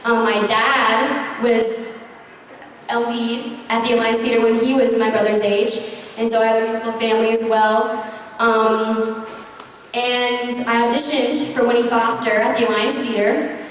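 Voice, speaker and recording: Teen girl from Atlanta on a panel, high voice, distant with long reverb. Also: level tone, measured pace, slight background noise. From Atlanta, Georgia. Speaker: child actress panelist. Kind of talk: panel answers. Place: large convention hall. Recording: echoey hall from afar.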